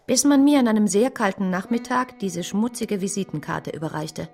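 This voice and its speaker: An actress in a radio drama playing a sensible, educated woman, husky, measured and earnest as she speaks and recounts. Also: mid-range voice, expressive, a little mellow, soft background music. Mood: reflective. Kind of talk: radio drama acting and first-person narration.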